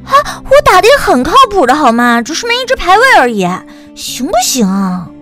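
A woman acts a playful, gossipy young woman in an audiobook drama. Her voice is high and very animated. She chatters and teases in exclamations over faint music. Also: a little fast, exaggerated voice. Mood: pleading, playful. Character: bubbly, teasing, gossipy young female best friend.

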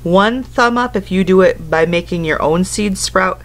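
A woman's voice: lowish voice, normal speed. A woman in her thirties reading a picture book aloud to young children, instructing in simple phrases and putting questions to them. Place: indoors at home, living room couch.

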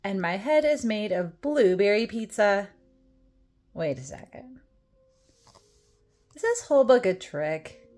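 A woman's voice: mid-range voice, sing-song, a little mellow, soft background music. A woman reading a children's picture book aloud in a playful, theatrical way, with exaggerated expression. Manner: playful, exaggerated mock dismay, dramatic drawn-out words and whispers. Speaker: storytime reader.

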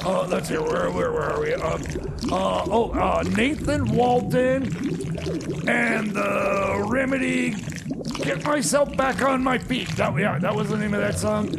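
An actor playing a stammering, insecure, sarcastic radio DJ in a comedy radio show, talking in halting, repeated words. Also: male voice, high voice, gravelly, very noisy. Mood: hesitant. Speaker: radio music show host. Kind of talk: comedy radio theater banter.

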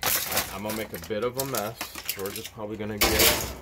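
A man in his thirties digging through a storage unit outdoors, talking excitedly to his phone camera in a casual vlog. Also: fairly low pitch, a little fast. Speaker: storage unit treasure hunter. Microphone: handheld phone camera. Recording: noisy outdoor phone recording.

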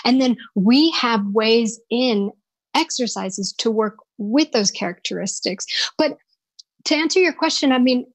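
A woman's voice: fairly high pitch, animated, a little slow, no echo. Woman voice coach, dry close sound. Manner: thoughtful, searching for words with restarts. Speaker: voice and dialect coach. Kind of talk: live podcast interview.

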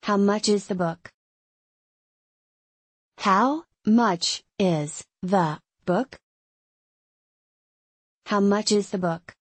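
A woman's voice: medium pitch, extremely slow, long pauses, dry recording. A woman reading short English phrases for learners, saying each one carefully several times in a dry studio voice-over. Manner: careful, clear enunciation for learners. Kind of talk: slow repeated phrase reading.

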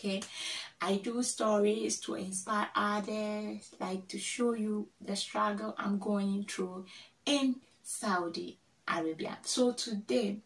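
A young woman from Ghana talking to camera in a vlog, slowly drilling words and repeating each one several times. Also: medium pitch, distant mic, a little hiss or hum. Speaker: vlogger. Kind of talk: casual teaching to camera. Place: small room indoors, plain wall. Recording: echoey room, voice off-mic.

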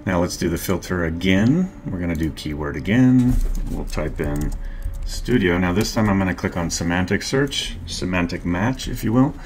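A middle-aged man narrating a screen-share tutorial, calmly explaining each step and click as a marketing instructor. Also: low voice, moderate pace, slight background noise. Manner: calm, explanatory, walks through clicks step by step.

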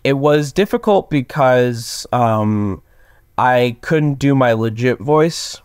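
Young male actor as interview guest; clean, resonant tenor lowered by sleep, hesitant casual talk, close dry sound. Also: animated, takes it slowly, smooth, dry recording. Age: young adult. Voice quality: tenor, lowered from just waking up. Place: plain small room indoors, video call.

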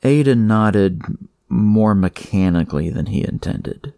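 Guy reading horror fiction, creaky voice, measured and slow with dramatic pauses. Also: on the low side, expressive, dark tone, no echo, clean studio sound. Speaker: narrator. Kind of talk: scripted horror fiction narration.

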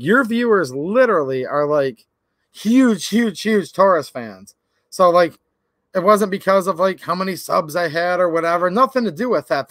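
A man in his thirties hosting a livestream, talking casually and earnestly to his viewers, his voice a little raspy from cold and pollen. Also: high voice, no room sound, studio quality. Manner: earnest, rambling, repeats "literally" and "like". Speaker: channel host. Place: indoor workshop or garage.

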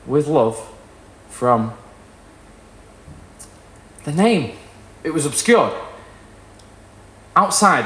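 A young man giving an expressive, theatrical live reading of literary fiction. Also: on the high side. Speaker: story reader. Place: small indoor performance room with wooden wall. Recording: roomy live venue sound.

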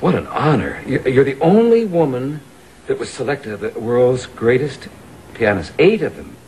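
A man's voice: mid-range voice, sing-song, darkish tone. A man hosting a talk show, chatting playfully and joking with his guest. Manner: playful, joking, quick banter. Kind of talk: casual chat. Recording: noisy vintage TV audio.

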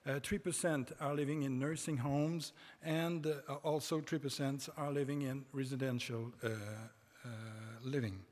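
A middle-aged man with a French Canadian accent, a native French speaker giving a conference lecture from a podium, halting with many hesitations and restarts. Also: fairly high pitch, fairly even intonation, darkish tone. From Quebec, Canada. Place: large conference hall stage. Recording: reverberant conference hall.

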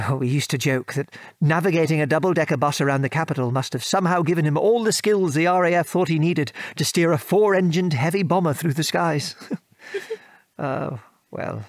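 A man's voice: on the high side, no room sound. An actor playing an affectionate British father and former wartime air force officer, patiently reminiscing in an audio drama. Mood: wry reminiscing. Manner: chuckling, patient, old-fashioned British turns of phrase.

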